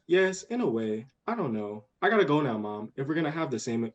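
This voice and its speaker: A man's voice: mid-range voice, expressive, a little fast, close to an everyday voice. Man acting an impatient kid, dull lo-fi audio. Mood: earnest, restless. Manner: impatient, earnest. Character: eager, bossy, imaginative young girl under eleven. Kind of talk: radio play acting.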